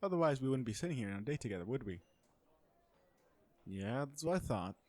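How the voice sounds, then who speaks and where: Casting: male actor as a sardonic, flirty corporate supervillain for romantic comedy audio roleplay, mid-pitched, conversational and sure of himself. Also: expressive, fairly quick, a little mellow, close to an everyday voice. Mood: flirty, relaxed. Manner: conversational venting, sardonic and self-assured. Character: cocky, flirtatious businessman supervillain on a blind date.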